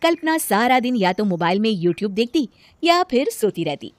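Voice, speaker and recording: A woman narrating a scripted animated moral tale as a storyteller. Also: fairly high pitch, moderate pace, dry recording.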